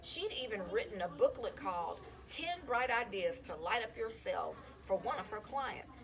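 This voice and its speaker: A woman reading a children's chapter book aloud in an expressive, storytelling style. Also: mid-range voice, moderate pace, vocal fry. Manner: animated, acts out dialogue. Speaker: school counselor reading aloud to students.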